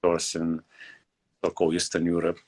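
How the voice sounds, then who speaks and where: Man, forties, from Bulgaria, English, deep voice, halting with lots of fillers, video call. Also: completely flat delivery, darkish tone, husky. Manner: hesitant, many fillers and pauses. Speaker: guest leatherworker and shoemaker. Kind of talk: casual interview over video call.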